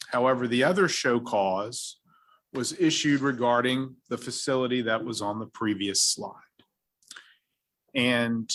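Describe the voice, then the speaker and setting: A city attorney with a Virginian accent gives a formal presentation to a council in a council chamber, using measured, precise legal phrasing. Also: male voice, mid-range voice, fairly bright tone.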